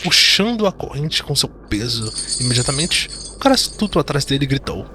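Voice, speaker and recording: A man's voice: mid-range voice, big pitch swings, normal speed, background noise. A man with a Brazilian accent giving dramatic scripted narration of a fantasy audio drama. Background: background music.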